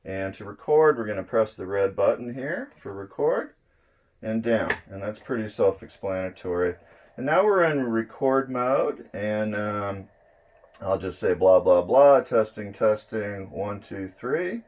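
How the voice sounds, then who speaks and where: Low-pitched man giving a demo. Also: lively intonation, a little mellow. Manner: unhurried, explains step by step. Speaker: presenter of electronics demo videos. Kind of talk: casual hands-on product demonstration. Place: indoors at a draped table.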